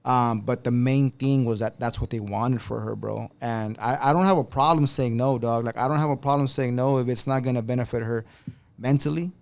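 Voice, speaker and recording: A man's voice: medium pitch, a little fast, a little mellow. A middle-aged man, a father and manager, talking earnestly and in a laid-back way, dropping "bro" often in casual podcast chat.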